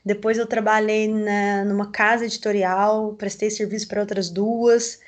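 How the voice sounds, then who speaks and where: A woman with a Mineiro Brazilian accent gives steady, explanatory answers in an interview, at an everyday mid pitch. Her delivery is measured and she often checks in with tag questions. She is heard through a video call. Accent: Mineiro Brazilian Portuguese. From southern Minas Gerais, Brazil. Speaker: coffee growers' association representative.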